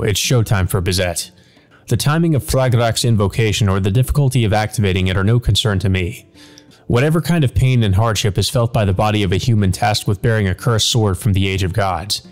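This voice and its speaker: A man plays a cynical shadowy demon servant from a visual novel. The voice is fairly low, flippant and taunting, with music behind it. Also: fairly quick, a little mellow, lightly acted. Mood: smug musing.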